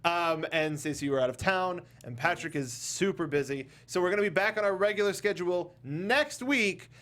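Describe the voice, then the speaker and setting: A man's voice: high-pitched, normal speed, fairly bright tone. A man hosting a casual panel chat, talking in a jokey, rambling way. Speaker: podcast host.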